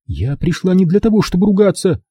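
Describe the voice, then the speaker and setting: A man's voice: sits high, sing-song, a little fast, dark tone, breathy edge, no room sound, clean studio sound, pitched above the performer's own voice, heavily acted. A man voicing a stubborn, defiant young woman in an audiobook, a grown daughter who is weary, defensive and curt. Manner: curt, argumentative. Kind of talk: audiobook character dialogue.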